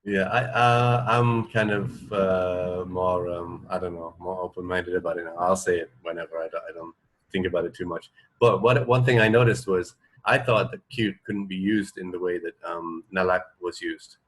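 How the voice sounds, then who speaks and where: Male co-host, middle-aged, lower voice, loose conversational delivery that feels its way through each thought. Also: fairly even intonation, a little hiss or hum, poor audio. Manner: tentative, halting, thinking aloud. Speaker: radio show co-host. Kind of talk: casual chat.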